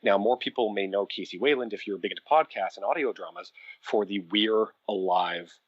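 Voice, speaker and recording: A man with a low, husky voice talks through his work at an easy conversational pace, pausing on fillers as he gathers his thoughts. The sound is dry and dull, like a lo-fi remote call with no room around it. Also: no echo. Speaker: audio drama producer, guest. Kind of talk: conversational podcast interview.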